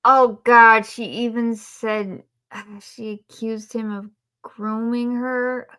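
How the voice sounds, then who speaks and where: A woman's voice: a little high, fairly even intonation, takes it slowly, pushed and bright. A woman co-hosting a casual review podcast, giving opinions in a blunt, insistent way. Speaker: podcast co-host.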